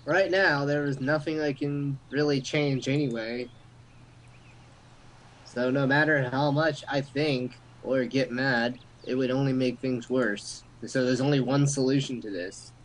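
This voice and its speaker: A young man of middling pitch reads lines from a visual novel in a casual way. He sounds goofy and irreverent, like an amateur among friends. The home recording carries clear noise. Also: fairly even intonation, unhurried, rough recording. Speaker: amateur reader of the hero's thoughts in a let's play. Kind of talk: casual read-aloud with joking asides.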